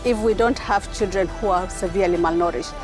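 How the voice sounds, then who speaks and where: A woman's voice: medium pitch, a little fast, over music. Confident woman nutrition coordinator. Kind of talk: interview answers.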